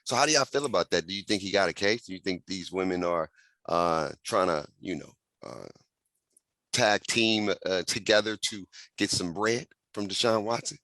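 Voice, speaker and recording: Low bright-toned podcast host, man. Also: moderate pace, no echo. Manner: relaxed, conversational, asks questions. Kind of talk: casual chat.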